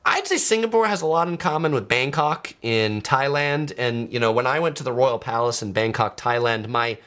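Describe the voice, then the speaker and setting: Chatty American man, lively voice. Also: mid-range voice, normal speed. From San Diego, California, USA. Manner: chatty, loose, many filler words. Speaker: travel vlogger. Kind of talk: casual live-stream chat reading viewer comments.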